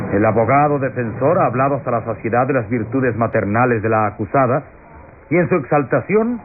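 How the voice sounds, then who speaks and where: An actor playing a pompous, accusing prosecutor in a declamatory, solemn style, addressing a jury in a classic radio melodrama. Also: male voice, on the high side, natural voice. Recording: tinny, noisy old radio recording.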